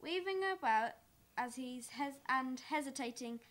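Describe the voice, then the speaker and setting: High-voiced young woman narrator. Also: unhurried, a bit bright. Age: young adult. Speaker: stage directions narrator. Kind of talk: scripted narration.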